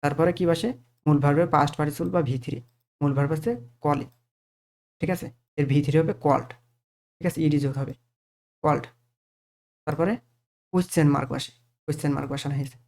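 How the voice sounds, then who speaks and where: A man's voice: on the high side, steady tone, a little fast, vocal fry, pauses often, a little mellow. A man with a Bengali accent teaching grammar step by step, asking questions and answering them himself, with frequent check phrases. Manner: didactic, asks and answers own questions, repeats check phrases.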